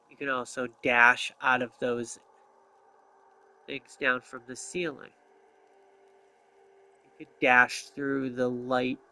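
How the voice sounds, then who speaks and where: A man's voice: medium pitch, bright, forceful tone, clear, resonant tone. A man giving casual gameplay commentary, thinking aloud and explaining each step to viewers. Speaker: video game player and commentator.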